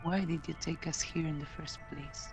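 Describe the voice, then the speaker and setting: Flat, even poetry reader over music. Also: medium pitch, normal speed. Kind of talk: spoken-word poetry reading.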